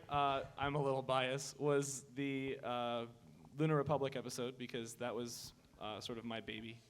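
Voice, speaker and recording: A man's voice: medium pitch, a bit bright. A man talking casually as a member of a team on a convention panel, into a microphone. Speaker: panelist in an audio drama team. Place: convention meeting room with an audience.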